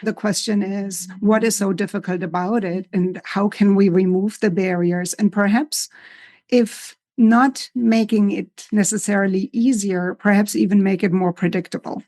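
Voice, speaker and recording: A woman from Germany with a German accent, a psychologist speaking thoughtfully and warmly laughing now and then in an interview. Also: mid-range voice, fairly even intonation. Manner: thoughtful, measured, laughs easily. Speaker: psychologist, associate professor. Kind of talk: conversational interview.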